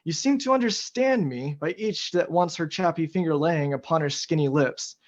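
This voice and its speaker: A man's voice: on the high side, moderate pace, natural voice. A man playing a bold noble general who questions and declaims in a Shakespearean radio play. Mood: wary questioning. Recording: dull, lo-fi sound.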